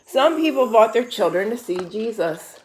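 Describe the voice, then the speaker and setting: A woman reading picture books aloud to a young child as a storyteller, pausing to explain things simply. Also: a little high, expressive, a little hiss or hum, poor audio. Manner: simple explanations to a child between read passages.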